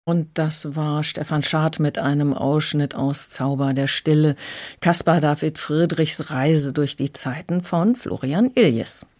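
A woman's voice: deep voice, measured pace, a little mellow. A woman giving a conversational, explanatory book review as an audiobook critic on a radio programme.

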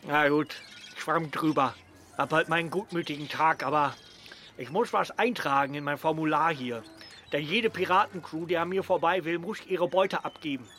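An actor playing a gruff, bossy but good-natured pirate harbour master in a children's radio play. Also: male voice, a little high, normal speed, sharp tone, slight background noise. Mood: stern, grudging. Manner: bossy, teasing, theatrical.

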